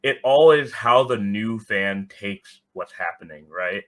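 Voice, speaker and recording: A man talks casually and bluntly, sharing opinions as a podcast guest. His voice is fairly low for a man, with a bright, forceful edge. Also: animated, a little slow. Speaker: podcast guest, soccer fan.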